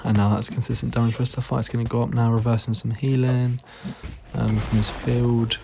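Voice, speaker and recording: Hoarse, gravelly man commenting gameplay. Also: mid-range voice, little pitch movement, fairly quick. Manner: laid-back, rambling, frequent ums. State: hoarse, losing his voice; tired, yawning late at night. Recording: noisy recording with clicks.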